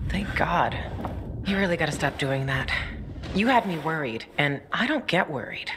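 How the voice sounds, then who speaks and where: A woman's voice: low-pitched, expressive, normal speed. Tough, loyal woman fighter, noisy surroundings. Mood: relieved, straining. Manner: blunt, wry. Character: tough, fiercely loyal young woman fighter, sardonic but caring. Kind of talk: video game cutscene acting. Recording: noisy ambience behind the voice.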